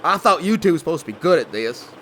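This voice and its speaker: A man's voice: fairly high pitch, big pitch swings, fast, a bit bright, a little hiss or hum. A man playing an indignant, worried backwoods client with a Southern US accent, plaintive and skeptical, in a comedy horror audio drama.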